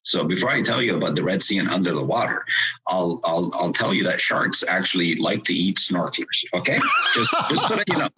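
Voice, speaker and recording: A man's voice: low voice, very animated, fairly quick, bright, forceful tone, slight background noise, poor audio. A man in his fifties from Egypt, a guest in a podcast interview, who talks with many fillers and restarts.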